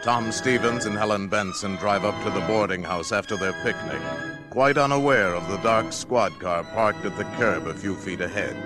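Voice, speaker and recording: An actor delivering scripted, scene-setting narration as the solemn narrator of a radio drama. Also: male voice, lowish voice, moderate pace, natural voice. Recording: radio drama with loud music underneath. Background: loud violin music.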